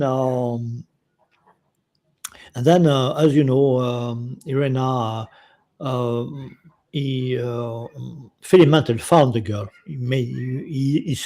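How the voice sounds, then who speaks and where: A man's voice: medium pitch, warm tone. A man interviewing a guest. He speaks hesitantly, breaking off and restarting his phrases. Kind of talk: casual interview, partly reading aloud.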